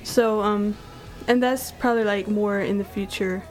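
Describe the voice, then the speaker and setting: Flat-toned young woman, many fillers. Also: mid-range voice, normal speed, low-quality recording. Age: teens. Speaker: college student guest. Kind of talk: casual chat.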